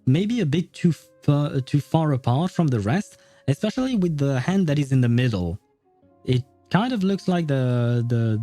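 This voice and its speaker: A male streamer with a soft, dark timbre chats to viewers in non-native English. He rambles politely and hesitantly, in a self-deprecating way. The sound is close and dry, with faint music underneath. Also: mid-range voice, no echo. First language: not English. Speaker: livestreamer. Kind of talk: casual livestream chat with viewers over gameplay. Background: faint game music.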